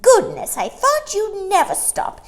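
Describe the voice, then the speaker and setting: A woman voicing a shrill, pompous, chattering male starling in a storybook reading. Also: wildly swooping pitch, measured pace, full character voice. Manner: pompous and wheedling.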